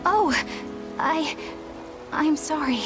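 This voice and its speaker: A woman's voice: high voice, expressive, drawn-out words, over music, exaggerated voice. A woman playing a proper, idealistic young knight in a video game, speaking formally and earnestly. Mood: taken aback. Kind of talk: English video game dub acting.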